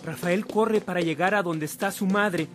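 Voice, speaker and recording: A woman's voice: on the low side, moderate pace. A woman narrator reading a scripted radio drama story. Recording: narration over clear music.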